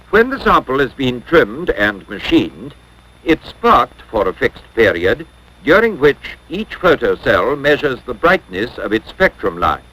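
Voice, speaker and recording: Lively-pitched 1950s educational film narrator, male. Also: poor audio. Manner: measured, formal, instructional.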